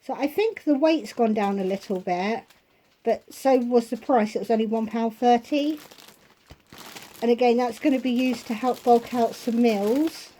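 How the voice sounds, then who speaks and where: A woman vlogger at home, chatting casually through a shopping haul and listing prices, her voice going hoarse. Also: a little high, mellow, slight background noise. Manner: chatty, unhurried, itemizing prices.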